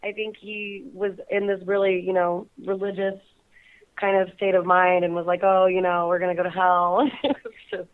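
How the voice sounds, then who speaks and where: A woman's voice: fairly low pitch, level tone, moderate pace, clear, resonant tone. Young woman from the Bay Area calling in, giggly, laughs a lot, narrow phone-line sound. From Bay Area, California. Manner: giggly, laughs often between phrases. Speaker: guest. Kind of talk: casual phone interview. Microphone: phone call.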